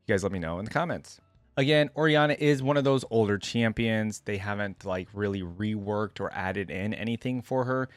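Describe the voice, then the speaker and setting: Guy doing a chatty reaction video, kinda low voice, dry sound, thinks out loud. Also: moderate pace, dry recording. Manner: conversational, thinking aloud. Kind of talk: casual reaction commentary, reading aloud and commenting.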